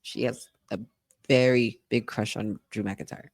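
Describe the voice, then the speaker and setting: A woman co-hosting a wrestling watch-along, talking in an excitable, chatty fan style with lots of "like". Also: very deep voice, a little slow. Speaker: podcast co-host. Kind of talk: casual watch-along commentary.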